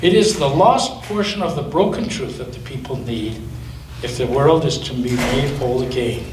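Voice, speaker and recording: A man softly voicing a wise ancient turtle. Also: mid-range voice, noisy background, natural voice. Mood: gentle, wise. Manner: soft, slow and hushed. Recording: echoey church from a distance.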